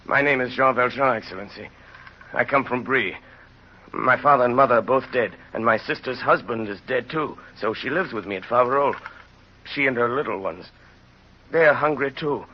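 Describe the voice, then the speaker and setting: An actor playing a poor, hungry labourer, humble and desperate, with halting, deferential pleading in an old radio drama. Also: male voice, medium pitch, natural voice. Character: humble, desperate, hungry poor French pruner turned convict. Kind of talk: 1930s radio drama acting. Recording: noisy, dull old radio recording.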